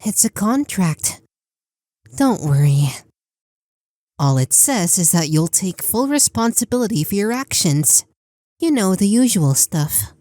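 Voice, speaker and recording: An eerily composed little boy, played by a woman, for an audio drama. The voice is unhurried and soft-edged, with a melodic lilt and a very dry, close sound. The delivery stays calm and matter-of-fact. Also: medium pitch, no echo. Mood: calm, cryptic. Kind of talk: fan audio drama acting.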